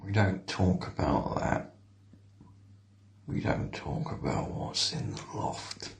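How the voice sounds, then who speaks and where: Man acting a hushed, sinister keeper of secrets. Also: low voice, expressive, slow pace, vocal fry, roomy sound, a little hiss or hum, voice pushed down, full character voice. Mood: secretive, warning. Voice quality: hushed, near whisper.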